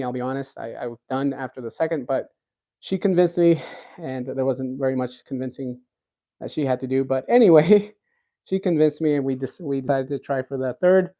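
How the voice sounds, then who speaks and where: A man's voice: medium pitch, moderate pace, dark tone. Rambling man hosting a cooking livestream. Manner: rambling, many fillers and restarts. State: recovering from a cold. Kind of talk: casual livestream chat reading comments.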